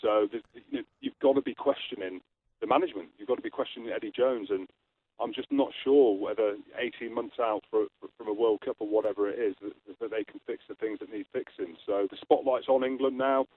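A man's voice: fairly low pitch, fairly quick. A man with a Scottish accent, a rugby pundit guest giving blunt, forthright opinions in a radio interview. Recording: tinny phone line.

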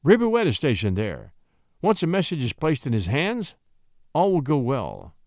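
A man's voice: a little high, wildly swooping pitch, moderate pace, no room sound, clean studio sound. Man voicing a grand, courtly, huge marquis. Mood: measured, grand. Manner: great voice softened by habit, courtly.

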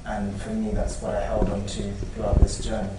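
Interview actor, man, low and earnest, deliberate and emphatic, distant mic in an echoey, noisy room. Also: completely flat delivery. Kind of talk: press junket interview.

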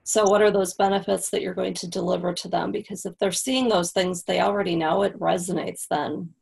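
Woman with heavy vocal fry and a husky edge, chatty how-to talk. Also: on the low side, fairly even intonation, brisk, darkish tone. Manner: practical, explanatory, frequent "right?". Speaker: copywriting guest. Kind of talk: casual podcast interview.

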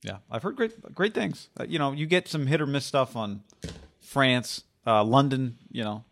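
A man co-hosts a sports podcast in an everyday middle-pitched voice. He talks in a relaxed, halting way, repeating words as he gathers his thoughts. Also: vocal fry. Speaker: podcast co-host. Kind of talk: casual sports chat and show plugs.

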